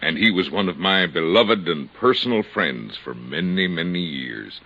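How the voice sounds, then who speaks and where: Pompous, deep-voiced small-town mayor. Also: male voice, lively intonation, moderate pace, bright, forceful tone, full character voice. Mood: solemn, formal. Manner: pompous, formal, orotund delivery. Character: stuffy small-town mayor, solemn, evasive older man. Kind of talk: old-time radio drama acting. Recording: dull lo-fi old radio recording.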